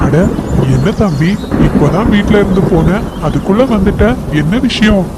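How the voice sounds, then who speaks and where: A man plays a cartoon eagle, the hungry big brother. It is a high male voice that exclaims and gives orders, over heavy background noise. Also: animated, moderate pace. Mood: eager. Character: greedy elder-brother eagle, hungry and bossy. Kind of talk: Tamil cartoon story voice acting. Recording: noisy track with outdoor ambience.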